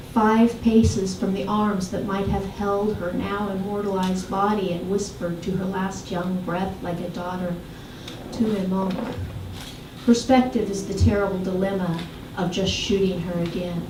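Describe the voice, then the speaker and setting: A woman giving a poetry reading, reciting with deliberate, dramatic phrasing and emphatic lists. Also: mid-range voice, fairly even intonation. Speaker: poet. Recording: echoey room, distant mic, faint noise.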